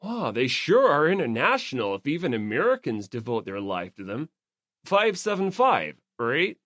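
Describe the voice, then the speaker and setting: A performer voicing a dim, easygoing, excitable young man in an audiobook, clueless and emphatic. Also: mid-range voice, moderate pace, no echo, clean studio sound. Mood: impressed. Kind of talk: audiobook character acting.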